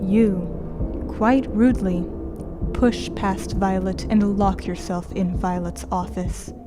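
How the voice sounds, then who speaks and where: An actress playing a calm, insinuating game guide who narrates in a measured, coaxing way in an audio drama. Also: female voice, medium pitch, background noise, close to an everyday voice. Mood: cool. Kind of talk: scripted second-person narration in audio drama.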